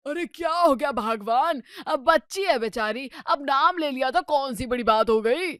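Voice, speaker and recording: A woman's voice: high-pitched, expressive, normal speed, fairly bright tone, no echo, clean studio sound. A woman playing an unfazed, dismissive middle-aged husband and father in a comedy story, speaking in a nonchalant manner. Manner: nonchalant, shrugging off everything. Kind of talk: Hindi comedy story dramatisation.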